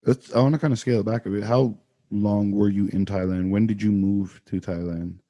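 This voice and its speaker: A man co-hosting a podcast, asking short direct questions and adding soft acknowledgments. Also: fairly low pitch, fairly even intonation, fairly quick, no echo. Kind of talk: casual interview chat.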